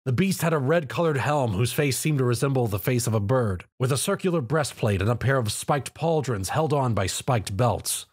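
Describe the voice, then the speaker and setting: Man, story narrator, scripted delivery, varied intonation, a little husky. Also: mid-range voice, lively intonation, moderate pace, few pauses.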